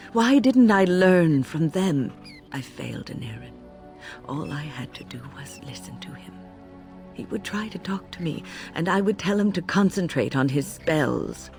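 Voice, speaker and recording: An actress playing an older female mage mentor in a fantasy game, measured and confessional, full of grave regret and compassion. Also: deep voice. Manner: measured, reflective, confessional, emotive. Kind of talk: dramatic scripted game dialogue, storytelling.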